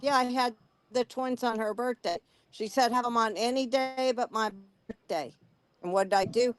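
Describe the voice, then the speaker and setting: A woman's voice: a little high, fairly even intonation, smooth. A woman in her sixties hosting a casual live chat over a video call, telling family stories and laughing easily. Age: 60s. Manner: chatty storyteller, quick to laugh. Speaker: host.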